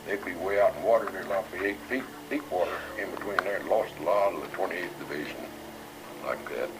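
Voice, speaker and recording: An elderly man, a war veteran, recalling his service in a plain, halting, matter-of-fact way. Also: sits low, background noise. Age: 80s or older. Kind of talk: oral history interview recollection.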